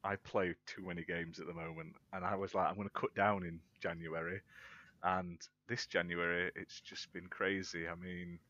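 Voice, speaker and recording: A man's voice: sits low, flat delivery, moderate pace, sharp tone, lo-fi sound. A British man co-hosting a casual gaming chat, chatty and easygoing, with a laugh now and then. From United Kingdom. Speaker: podcast co-host.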